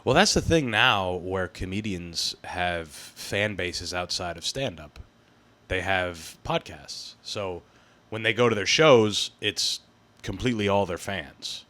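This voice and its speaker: Deep-voiced young male host, bright tone. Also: slow. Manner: laid-back, laughs easily. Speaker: podcast host. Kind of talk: casual chat.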